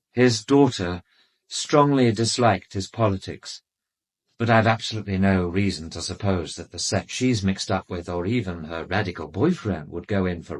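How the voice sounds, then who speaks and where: An urbane English doctor of the upper classes in a radio mystery. His voice is on the low side, with measured, formal phrasing and a haughty, disdainful edge. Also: slightly rough, exaggerated voice. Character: haughty, urbane male private doctor being questioned. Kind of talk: radio drama dialogue.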